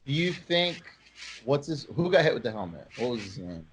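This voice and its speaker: A man's voice: mid-range voice, big pitch swings, moderate pace, slight background noise. A man hosting a sports talk show, giving opinionated takes in an informal, emphatic way.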